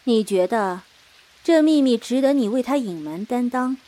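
A woman playing a stern, grave martial-arts master with a measured manner in a Chinese period audio drama. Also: fairly high pitch, animated, warm tone, no echo, close to an everyday voice.

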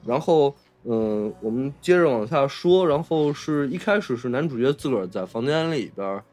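A man's voice: medium pitch, expressive, moderate pace, a little mellow. A man with a Mainland Chinese Mandarin accent hosting a casual film discussion, explaining and rephrasing his points as he goes. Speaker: podcast host.

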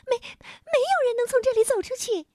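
A woman voicing a tiny, greedy, excitable imp in a children's story, with a thin shrill voice and a stammering, exclaiming way of talking. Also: moderate pace, no room sound, studio quality. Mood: hungry, pleading.

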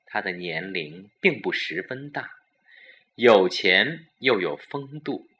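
A man's voice: fairly low pitch, animated, slow, sharp tone. A man narrating a suspense audio story in a dramatic storytelling style.

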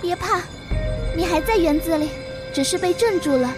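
A woman's voice: very high-pitched, lively intonation, moderate pace. A woman acting a brave, protective young servant in an audio drama, speaking in a firm, urgent, reassuring way. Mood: calm, reassuring. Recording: music behind the voice.

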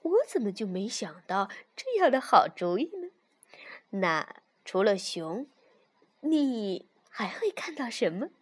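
A woman voicing a gentle, doting, easily worried mother fox in a storybook, speaking softly and expressively. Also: mid-range voice, a little slow, slightly rough, pitched below the performer's own voice. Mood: nervous, admiring. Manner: expressive, coaxing.

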